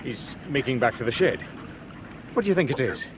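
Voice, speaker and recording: A man's voice: medium pitch, very animated, fairly quick, lightly acted. An actor playing a wary man caught up in a swindle, speaking in a dry, guarded way. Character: wary Scottish male expert in on a swindle. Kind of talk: 1970s radio drama acting. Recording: radio play with water noise.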